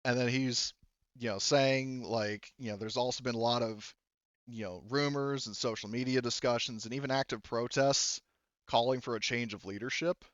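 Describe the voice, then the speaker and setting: A man narrating a tabletop game as game master in a casual, halting, rambling and sometimes profane way. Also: medium pitch, steady tone, fairly bright tone, no echo, lo-fi sound.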